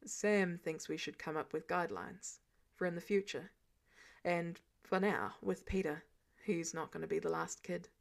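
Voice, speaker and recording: Woman doing an earnest tired hero guy, low and quiet, measured team leader in an audio story. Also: steady tone, creaky. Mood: serious. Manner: measured, subdued, sincere. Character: earnest, weary male soldier-hero, calm team leader.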